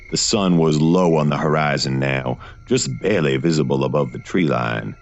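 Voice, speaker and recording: Very deep-voiced man narrating gothic horror. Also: creaky, muffled recording, a little hiss or hum. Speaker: narrator of a horror fiction podcast.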